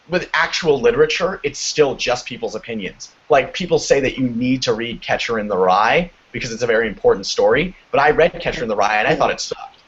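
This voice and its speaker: A teenage young man with a deep baritone voice, talking casually and haltingly as a guest on a group call. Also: breathy edge. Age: teens. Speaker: guest on a writing advice stream. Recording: muffled lo-fi internet call audio.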